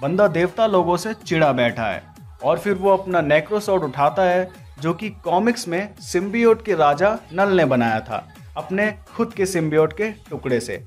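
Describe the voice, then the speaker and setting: A man's voice: high-pitched, animated, normal speed, faint music behind. A man hosting an informal, opinionated movie breakdown as an explanatory voice-over. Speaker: video host, trailer breakdown narrator. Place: voice-over recording.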